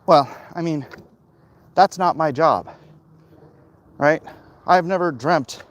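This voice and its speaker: A middle-aged man talking casually and haltingly to his viewers in a monologue recorded while out walking. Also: a little high, lo-fi sound. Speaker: comic book creator vlogging. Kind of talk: casual walk-and-talk monologue. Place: outdoors, walking along a hilly route.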